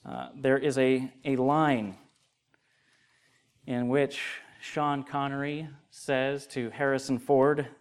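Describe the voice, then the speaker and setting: A young adult man, an associate pastor delivering a sermon and prayer in an earnest, measured way that grows more emphatic. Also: medium pitch, little pitch movement, clean tone.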